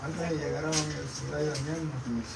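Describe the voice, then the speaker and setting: A man's voice: a little high, steady tone, brisk, creaky. Puerto Rican man interviewing in noisy echoing room. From Puerto Rico. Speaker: interviewer, host of a book show. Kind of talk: literary interview, conversational questions. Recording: roomy, very noisy, distant microphone.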